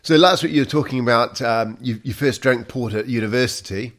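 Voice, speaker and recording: A man's voice: mid-range voice, fairly quick, a bit bright. A man hosting a wine show interview, chatty and a bit hesitant as he asks questions. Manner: chatty, hesitant, fills gaps with uh and um. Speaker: podcast host. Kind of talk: casual interview.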